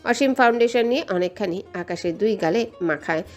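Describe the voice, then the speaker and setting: A female storyteller with a medium-pitched voice narrates a romantic tale from a script. Faint music runs behind her reading. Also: a little fast.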